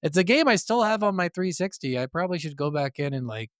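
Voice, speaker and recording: A man's voice: fairly high pitch, lively intonation, quick pace, dry recording. Casual, sweary man co-hosting, close dry sound. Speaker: podcast co-host. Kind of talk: casual gaming podcast chat.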